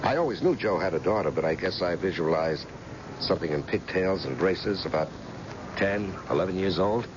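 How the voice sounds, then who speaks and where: A man's voice: low-pitched, lively intonation, normal speed. An actor playing a casual, wry male private detective and former cop in a vintage American radio drama. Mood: casual, curious. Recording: dull, noisy old radio broadcast.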